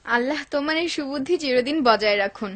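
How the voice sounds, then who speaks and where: A woman playing a gentle, pious, grateful wife in a dubbed cartoon, speaking politely and devoutly. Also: high-pitched, quick pace.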